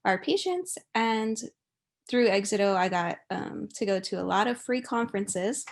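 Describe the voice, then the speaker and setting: Creaky young woman, giggly and informal. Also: medium pitch. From Kauai, Hawaii. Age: young adult. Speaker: alumni guest speaker. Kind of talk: informal speech to a group.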